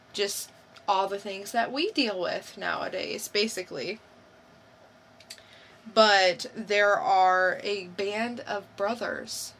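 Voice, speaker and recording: A woman with a bright, forward voice talks at an unhurried pace as a podcast co-host. She feels her way through a casual review, often unsure and thinking out loud. There is faint noise behind her. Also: medium pitch. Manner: halting, unsure, thinking aloud. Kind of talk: casual, unscripted review chat.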